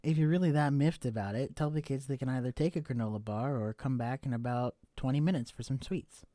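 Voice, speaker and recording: A man's voice: mid-range voice, a little fast, mellow, no room sound, clean studio sound. A man acting as an affectionate husband in a scripted audio roleplay, talking in a casual, intimate way. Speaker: voice actor playing an affectionate husband.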